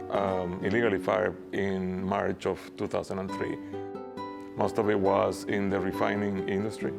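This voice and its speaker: A man in his fifties from Venezuela, with a Venezuelan Spanish accent, speaking hesitantly with frequent pauses and repeated words in a documentary interview. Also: fairly low pitch, vocal fry. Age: 50s. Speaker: former oil worker, interviewee. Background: soft guitar music.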